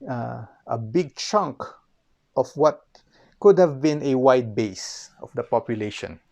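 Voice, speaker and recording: A man's voice: mid-range voice, lively intonation, slow, vocal fry, darkish tone. A man with a Filipino accent giving an informal explanatory history lecture, repeating phrases for emphasis and often checking in with "okay". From Philippines.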